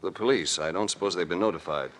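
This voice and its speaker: Casting: deep, forceful American hero-narrator, hard-boiled and sardonic, vintage radio sound with light hiss. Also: male voice, quick pace. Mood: wry, unruffled. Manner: deadpan, sardonic, dry understatement. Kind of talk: 1940s radio detective acting and narration.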